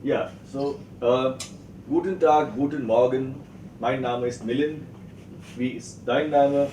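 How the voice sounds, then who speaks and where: A man from Pune with an Indian accent, teaching a language class in a deliberate, instructive way with repeated phrases. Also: mid-range voice, dark tone. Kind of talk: classroom instruction with slow repetition drills. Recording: distant roomy mic, faint noise.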